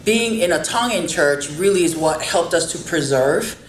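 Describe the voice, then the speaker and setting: A woman's voice: lowish voice, animated, moderate pace. A woman hosting a podcast, talking earnestly and encouragingly about faith and family. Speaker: podcast host. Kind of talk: casual interview chat. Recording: roomy with faint background noise.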